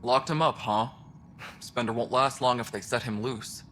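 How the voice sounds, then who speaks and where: Man as a wry, mid-pitched station boss. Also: moderate pace, a bit bright, slight background noise, close to an everyday voice. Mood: wry, grim amusement. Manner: dry, casual, knowing. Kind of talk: video game voice acting.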